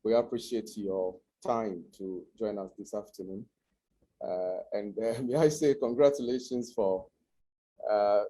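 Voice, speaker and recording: Low-voiced man, a bit hoarse. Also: dark tone, low-quality recording. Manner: hesitant, many filler pauses. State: slightly hoarse from a cold. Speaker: guest presenter. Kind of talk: online information session presentation.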